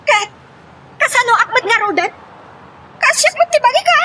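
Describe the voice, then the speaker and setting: A woman's voice: very high-pitched, expressive, normal speed, bright, forceful tone, heavily acted. An actress playing a scornful, bitter woman who nags and confronts her lover in an Ilocano melodrama. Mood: bitter, annoyed. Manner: nagging, confrontational. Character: scornful, bitter female lover named Monica, demanding and fiery. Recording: faint background noise.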